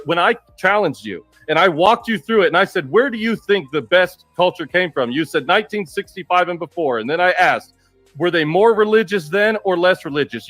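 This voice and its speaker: A man's voice: high-pitched, a bit bright, no echo. A man arguing in a heated live debate, combative and insistent, with crude language. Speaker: debate panelist.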